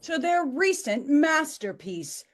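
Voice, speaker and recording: A woman's voice: high-pitched, big pitch swings, slow, full character voice. A woman actor at a table read playing a gushing female entertainment presenter, with theatrical promotional narration. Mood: breezy, showy. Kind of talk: tabloid-style promotional narration.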